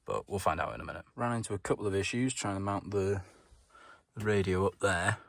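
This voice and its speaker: A man with a low-pitched voice presents a hands-on DIY job. He talks informally, thinking aloud as he goes, in the casual style of a home mechanic explaining each step. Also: lively intonation, brisk, vocal fry, fairly bright tone, no echo. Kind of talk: casual hands-on how-to narration.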